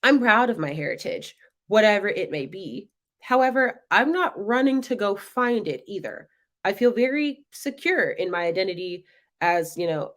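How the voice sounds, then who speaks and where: Young woman host, casual chatty monologue, animated delivery, medium pitch. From North Carolina, United States. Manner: animated, acts out others' voices in quotes. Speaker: web show host.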